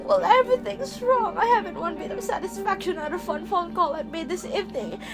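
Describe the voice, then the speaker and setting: Young woman playing a frantic bedridden woman, extremely high voice, imperious, plaintive, radio play, prominent music behind. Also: brisk. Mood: indignant. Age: young adult. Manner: nervous, imperious, plaintive outbursts. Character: frantic, demanding invalid woman alone in bed. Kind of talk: amateur radio play acting. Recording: dramatic music laid under the voice.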